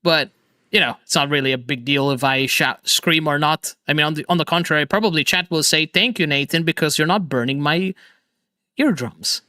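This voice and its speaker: A man hosting a casual video chat stream, energetic and laughing often, with quick restarts. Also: a little high, dry recording, clean studio sound. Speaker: streamer and host.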